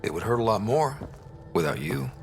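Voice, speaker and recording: An actor playing a determined young space explorer in a video game, earnest with a dry wit. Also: male voice, lowish voice, big pitch swings, moderate pace. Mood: wry, tender. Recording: music behind the voice.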